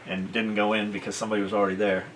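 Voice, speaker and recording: A man's voice: on the low side, level tone, racing, a bit bright. A man casually asking questions and prompting as an interviewer, heard away from the microphone. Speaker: off-camera interviewer. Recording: distant from mic, faint noise.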